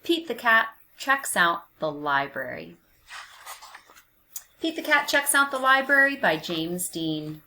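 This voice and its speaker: Slow-paced woman reading a children's storybook. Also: lowish voice, big pitch swings, pushed and bright. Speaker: children's read-aloud narrator. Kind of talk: expressive storybook narration for young children.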